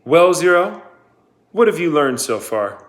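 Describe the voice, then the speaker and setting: A man reading aloud, voicing a stern, sarcastic woman who runs a camp, with cold, drawn-out questions. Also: deep voice, sing-song, voice pushed up. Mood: icy.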